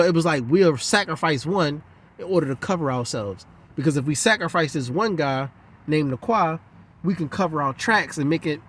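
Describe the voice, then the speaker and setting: Husky man ranting profanely outdoors. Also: on the high side. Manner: profane, heated, rambling monologue. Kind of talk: casual rant.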